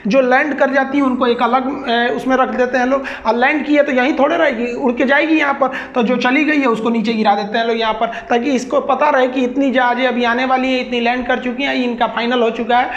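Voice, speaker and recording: A woman's voice: sits high, steady tone, a little fast, few pauses. A woman with a Bihari accent giving an informal explainer lecture, colloquial and repeating points with everyday examples. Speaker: teacher. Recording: echoey, dull lo-fi audio.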